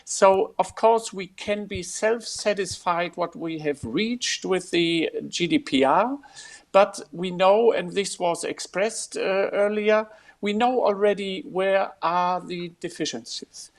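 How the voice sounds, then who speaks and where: A man's voice: high voice, takes it slowly. A man speaking bluntly as a panelist, urging bolder action. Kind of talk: panel discussion.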